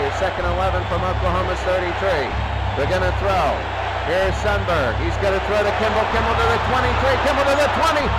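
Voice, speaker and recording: A man's voice: high-pitched, background noise. A man doing live football play-by-play in short, repetitive sentences, as the announcer. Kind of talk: live play-by-play sports commentary.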